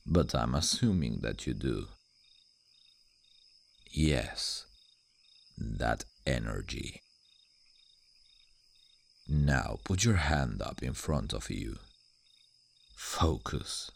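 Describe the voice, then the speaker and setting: Cavernously deep, gravelly demon. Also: male voice, big pitch swings, full character voice. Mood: solemn, guiding. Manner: slow, deliberate, dramatic, condescending toward humans. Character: suave sardonic male demon guardian, ancient and teasing. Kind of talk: ASMR roleplay.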